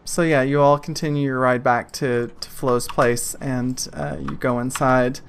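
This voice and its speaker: A man narrating and explaining as game master in a tabletop role-playing game, in a measured, slightly hesitant way. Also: medium pitch, steady tone, a little hiss or hum.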